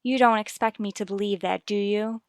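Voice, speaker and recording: A woman's voice: mid-range voice, fairly even intonation, no echo, clean studio sound, close to an everyday voice. Actress as a guarded, formal radio-soap mother. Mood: pleading, insistent. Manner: formal, measured, polite. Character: anxious, determined married mother, politely guarded. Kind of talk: vintage radio soap opera acting.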